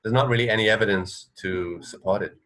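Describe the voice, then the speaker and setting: Low-voiced man chatting on a radio show. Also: fairly quick, fairly bright tone. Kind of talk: casual chat. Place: indoor room, video call.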